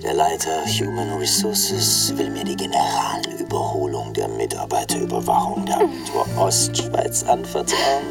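Creaky, smug scheming boss. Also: male voice, very deep voice, very animated, moderate pace, hardly any pauses, loud music behind the voice, exaggerated voice. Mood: gloating, conspiratorial. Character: smug scheming male insurance boss, contemptuous, mother's boy. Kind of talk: radio drama acting.

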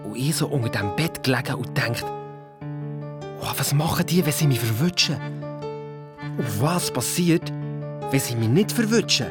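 A performer playing an excited boy detective with a Swiss German accent, telling a story colloquially and dramatically in a kids' radio play. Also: medium pitch, moderate pace, long pauses, music in the background, natural voice. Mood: tense, excited. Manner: breathless storytelling, colloquial, dramatic sound imitations. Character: excited boy detective recounting his sneaky adventure.

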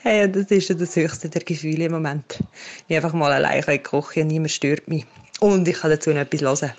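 A woman's voice: low voice, fairly quick. A young woman, a podcast listener, talking casually in a voice message.

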